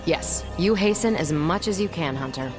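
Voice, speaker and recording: Low, wry female superhero captain. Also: animated, moderate pace, over loud music. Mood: wry, brisk. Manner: breezy, wry, colloquial. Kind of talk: video game cutscene acting.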